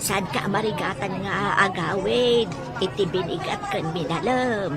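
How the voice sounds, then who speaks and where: A woman's voice: mid-range voice, a little slow, loud music behind the voice, over a noisy crowd, lightly acted. A woman playing a fussing old grandmother. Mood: fond.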